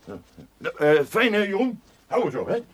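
Halting, lively-voiced office manager. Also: male voice, high-pitched, noisy background. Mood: hesitant, friendly. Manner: hesitant, halting. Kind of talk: radio drama acting.

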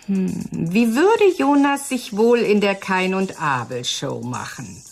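An actress in a German radio drama playing a cool, mocking female media executive with a deliberate, sardonic manner. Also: mid-range voice, very animated, creaky, darkish tone, slight background noise, exaggerated voice. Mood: amused, sardonic.

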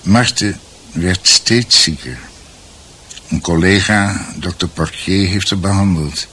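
An actor in a radio drama playing a grave man recounting family history in measured, short phrases. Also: low voice, bright, forceful tone, husky, full character voice. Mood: grave, reflective. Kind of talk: radio drama acting, storytelling.

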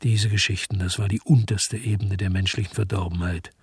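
A man's voice: low-pitched, steady tone, fairly quick, slightly rough, dry recording, clean studio sound, full character voice. A man playing a weary, disgusted hard-boiled investigator, narrating his own story in a brooding, terse way in a German radio drama. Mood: brooding, bitter.

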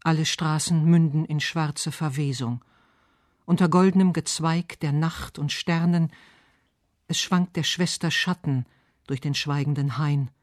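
A man reading scripted narration and verse for a literary radio essay, measured and solemn. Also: fairly high pitch, a little mellow, dry recording, clean studio sound.

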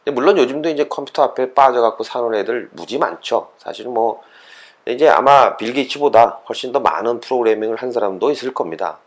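A man's voice: mid-range voice, fairly quick. A man giving an explanatory, lecture-style talk as a host, conversational and fond of posing rhetorical questions. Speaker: host of an educational talk series for parents and children.

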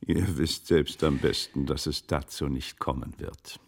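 An actor playing a shrewd, courteous gentleman of old Italy in a German radio play, with formal, measured delivery. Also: rumbling low, fairly even intonation, exaggerated voice. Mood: pointed.

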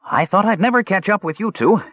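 A man's voice: high voice, fairly quick, no echo, lo-fi sound, pitched above the performer's own voice. A man voicing a small, cheerful, talkative kender who rambles eagerly, as an audiobook character. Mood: eager chattering. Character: small cheerful chattering kender, pesky and indignant.

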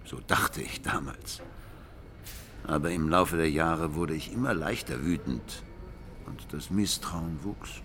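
An actor playing a brooding, jealous husband who recounts his marriage in a measured, reflective way in a radio play. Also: extremely low pitch, a bit bright, slight background noise, heavily acted. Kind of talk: radio play narration and dialogue. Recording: music behind the voice.

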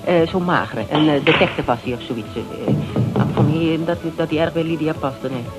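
A woman's voice: low-pitched, normal speed, over loud music, close to an everyday voice. An actress playing a secretive, tough, self-assured female snoop with a wry, probing manner in a radio drama. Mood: probing, scatterbrained. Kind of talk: Dutch radio drama acting.

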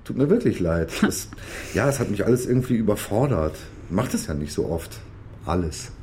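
A good-natured hapless crook in a crime radio play, soft dark voice, hesitant, bit of ambience behind. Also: male voice, on the low side, sing-song, slight background noise. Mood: sheepish. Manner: hesitant, halting, sheepish. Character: naive, good-natured, easily overwhelmed amateur crook.

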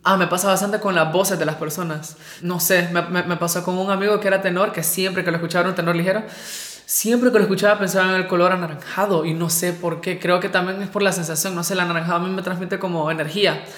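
A woman's voice: lowish voice, steady tone, quick pace. A woman talking casually and reflectively about her own experience. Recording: roomy echo with music underneath. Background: music.

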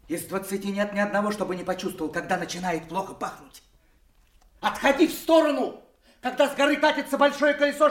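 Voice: high voice, natural voice. A performer playing a mocking, riddling but loyal court fool in a classical audio play, with a teasing, sing-song manner. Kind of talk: classical audio play, rhymed jests and songs. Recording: echoey, distant from the microphone.

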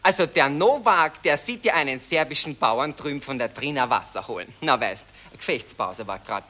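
An actor playing a callous, boastful young Austrian officer with a jaunty, slangy Viennese drawl in a 1940s German radio play. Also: male voice, mid-range voice, animated, moderate pace, bright, forceful tone. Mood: boastful, amused. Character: callous, boastful young Austrian army officer, cheerfully chatting about war.

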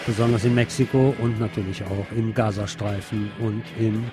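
A man's voice: lowish voice, level tone, moderate pace, loud music behind the voice. A man reading scripted narration as a podcast host.

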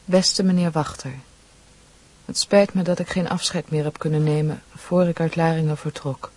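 A woman's voice: low-pitched, fairly even intonation, moderate pace, a little mellow, rough recording. A woman acting an earnest, politely formal young nurse in a Dutch radio play. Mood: formal, dutiful. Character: earnest, devout young nurse, gentle and dutiful.

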